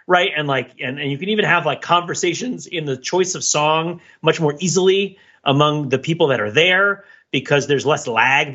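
Bright, husky-voiced talkative man. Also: fairly high pitch. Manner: talkative, rambling. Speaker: podcast co-host. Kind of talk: casual chat. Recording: dull, lo-fi microphone.

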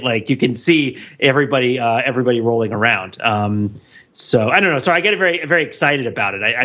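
A man on a podcast giving casual, animated, digressive commentary, often checking in with "right?". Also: medium pitch, muffled recording. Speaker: podcast host. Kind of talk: casual podcast discussion and critique.

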